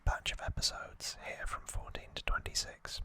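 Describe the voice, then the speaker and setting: An ASMR host speaking in an unhurried, informal whisper, chatting through commentary close to the microphone. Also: pushed and bright, no echo. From United Kingdom. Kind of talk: whispered ASMR, relaxed show-and-tell commentary.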